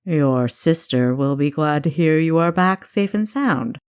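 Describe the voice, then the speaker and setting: A woman's voice: deep voice, expressive, measured pace, a little mellow, smooth. A woman voicing an aloof, disdainful male dungeon keeper in an audiobook, formal, cold and dry.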